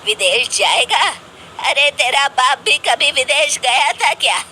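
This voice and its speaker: Someone voicing a grumpy scolding old father, animated. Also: high-pitched, slow, a little hiss or hum, heavily acted. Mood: scornful scolding. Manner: scolding, exasperated. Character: grumpy, scolding old father, stubbornly against going abroad.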